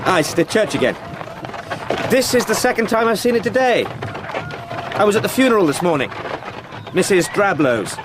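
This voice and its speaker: Eager, higher-voiced young English solicitor. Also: male voice, sing-song, moderate pace, pauses often, noisy background. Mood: eager, chatty. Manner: well-spoken, formal, wordy, hesitant fillers. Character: young English solicitor, polite, eager, chatty, slightly rattled. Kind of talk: naturalistic radio drama acting.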